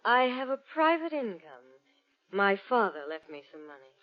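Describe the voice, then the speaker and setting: A woman's voice: on the high side, big pitch swings, normal speed. Evasive radio mystery woman, muffled recording. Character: evasive, nervous, glamorous young woman in trouble. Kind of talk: old-time radio mystery acting.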